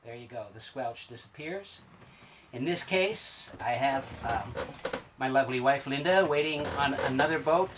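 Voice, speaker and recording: A man in his sixties giving a step-by-step instructional demonstration in a plain, methodical way with hesitant pauses. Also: mid-range voice. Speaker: sailing instructor. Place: small wood-panelled sailboat cabin at the navigation station. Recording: distant mic, clear background noise.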